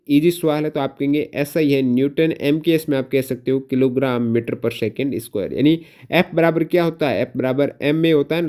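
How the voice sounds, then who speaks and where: A man with a soft, mellow voice at a medium pitch teaches an exam review lesson. He poses questions and then repeats the answers for emphasis, dropping English technical terms into his explanations.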